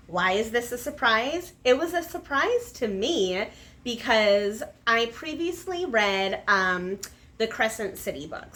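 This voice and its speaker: A woman's voice: fairly high pitch, pushed and bright. A woman giving a casual, enthusiastic review to camera as a vlogger, stressing and repeating words for emphasis.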